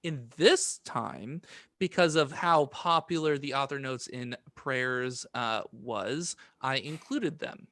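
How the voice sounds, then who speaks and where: A man's voice: medium pitch, slow pace, pushed and bright, dry recording, studio quality. A man co-hosting a podcast, chatting casually and sometimes reading notes aloud. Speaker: podcast co-host.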